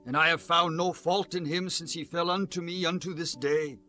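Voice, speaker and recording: A man's voice: on the high side, fairly even intonation, normal speed, sharp tone, faint music behind. A man acting a courteous, regretful king in a dramatized scripture audiobook, formal and earnest. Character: regretful, courteous king speaking kindly to his follower.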